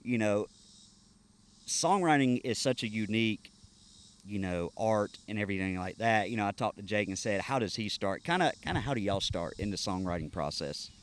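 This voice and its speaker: A man's voice: fairly low pitch, lively intonation, normal speed. Laughing, rambling man hosting a podcast. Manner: laughing, rambling storyteller with many fillers. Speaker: podcast host. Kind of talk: casual chat.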